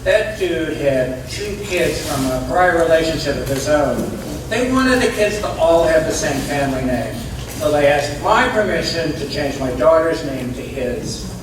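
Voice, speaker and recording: A man's voice: fairly high pitch, lively intonation, measured pace, far from the mic, noisy background. An actor in a live stage play, playing a blunt, coarse-spoken, self-deprecating middle-aged father, recorded in an echoey room from afar. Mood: rueful, confessing.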